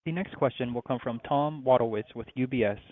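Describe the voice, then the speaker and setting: A man's voice: mid-range voice, brisk, no room sound. A man working as conference call operator, making short scripted announcements. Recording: narrow, dry phone line.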